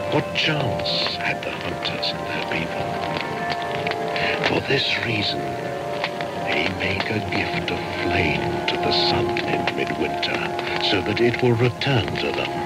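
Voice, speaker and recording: An actor playing a solemn storyteller, narrating ancient myth in deliberate poetic verse for a radio drama. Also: male voice, sits low, rough recording. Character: grave male storyteller of an ancient midwinter myth. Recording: loud music underneath.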